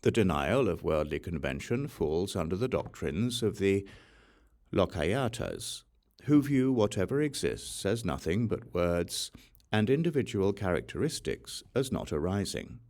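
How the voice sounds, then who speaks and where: A man narrating an audiobook of scripture, reading from a script in a measured, solemn way with deliberate pauses. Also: sits low, big pitch swings, a little mellow. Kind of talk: scripted reading of a Buddhist sutra.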